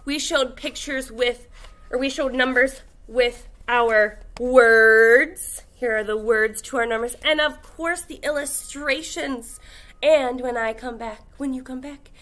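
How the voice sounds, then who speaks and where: Bright, high-pitched young woman teacher, very slow. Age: young adult. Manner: animated, exaggerated, encouraging, slow enunciation for small children. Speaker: kindergarten teacher. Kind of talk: read-aloud lesson for young children. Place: indoors, classroom.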